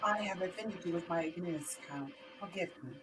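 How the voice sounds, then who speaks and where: A woman's voice: fairly low pitch, a little fast, slightly rough, soft background music. A woman playing a polite, earnest young English solicitor in an amateur dramatic read, speaking formally. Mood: deferential.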